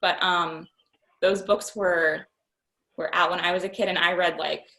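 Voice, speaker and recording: A woman's voice: lowish voice, fairly even intonation, a little slow, bright, forceful tone. Chatty young woman in a roomy echo. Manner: chatty, informal, self-deprecating. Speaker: magazine writer and editor answering students' questions. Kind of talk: casual question and answer chat.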